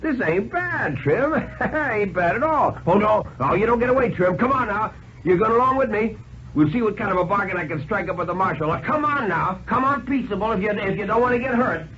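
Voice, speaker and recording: A jeering old-time radio tramp, swings about in a high, folksy drawl. He is sly and slangy, and the recording is dull and faintly hissy. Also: animated, brisk, fairly bright tone. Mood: sly, threatening. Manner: rustic slangy drawl, mocking. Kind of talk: 1950s radio dramatic reading.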